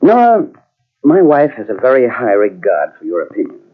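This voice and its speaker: An actor in an old-time radio mystery playing a scheming would-be playwright husband, smooth and insinuating, with menace underneath. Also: male voice, medium pitch, wildly swooping pitch. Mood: oily, coaxing. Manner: smooth, insinuating, quick to turn threatening. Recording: tinny, band-limited old radio cassette transfer.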